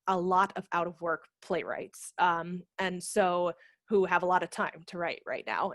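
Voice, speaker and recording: A woman in her thirties explaining things in a casual, animated, conversational way as a guest on a video call, speaking into a headset. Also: fairly low pitch, dry recording, clean studio sound. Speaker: guest, theatre managing director. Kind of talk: casual explanatory talk in an online session. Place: brick-walled room at home, indoors. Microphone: headset microphone on a video call.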